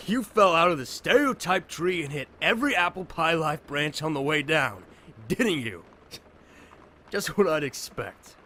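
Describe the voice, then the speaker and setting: A man's voice: fairly high pitch, sing-song, unhurried, pushed and bright, exaggerated voice. An actor playing a sarcastic, irritable young man in a fan audio drama, brusque in manner. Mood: mocking. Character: sarcastic, grumpy, irritable young man, foul-mouthed cynic. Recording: noisy ambience, vehicle sound behind.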